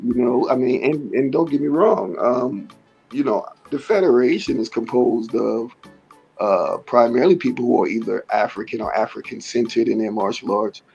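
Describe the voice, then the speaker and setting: Raspy-voiced man, halting and thoughtful. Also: mid-range voice, a little mellow, lo-fi sound, faint music behind. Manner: halting, many fillers and restarts. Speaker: martial arts teacher, interview guest. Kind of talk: casual conversational interview.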